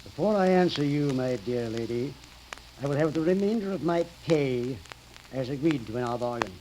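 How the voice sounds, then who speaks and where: An actor playing a sinister, greedy professor in 1930s radio horror style, speaking formally and with deliberate menace. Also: male voice, medium pitch, lively intonation, dark tone, natural voice. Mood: coldly demanding. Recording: noisy, crackly 1930s radio recording.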